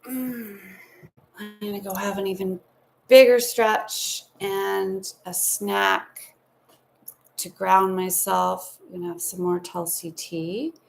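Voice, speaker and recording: A woman's voice: mid-range voice, gravelly, slight background noise. A woman giving a live tarot reading from home, talking in a soothing, meandering, spiritual way with affectionate asides. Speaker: tarot reader.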